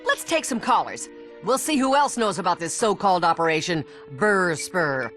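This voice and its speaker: A woman voicing a theatrical, self-satisfied, teasing bee who hosts a talk show in an animated game. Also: lowish voice, normal speed, soft background music. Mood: showy, pompous. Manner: showy, teasing interviewer patter.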